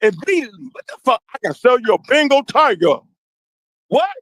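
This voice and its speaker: An older man in a heated group conversation, telling animated, profane stories and acting out the quotes himself. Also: very high voice, normal speed, no room sound.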